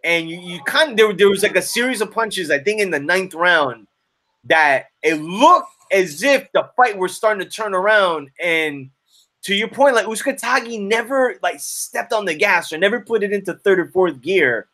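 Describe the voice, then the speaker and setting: A man's voice: sits high, animated, normal speed, bright, forceful tone. A man hosting a casual sports discussion in a conversational, colloquial way. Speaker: boxing show host.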